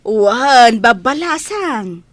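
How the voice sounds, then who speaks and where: A woman's voice: on the high side, expressive, very slow, dry recording. A woman playing a kindly, grateful older fish seller in an audio drama, with an Ilocano Filipino accent.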